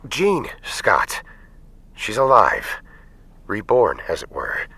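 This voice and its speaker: Formal, husky, deep-voiced beast hero. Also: male voice, huge pitch swings, takes it slowly, bright, forceful tone, no room sound, heavily acted. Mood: grave, earnest. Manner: formal, articulate. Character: erudite, earnest blue-furred male scientist hero. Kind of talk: audio drama acting.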